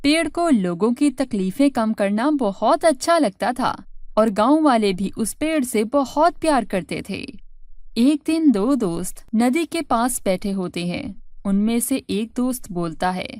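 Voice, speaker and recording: A woman's voice: fairly high pitch, expressive, unhurried, darkish tone, dry recording, clean studio sound. A woman reading scripted narration for a children's story.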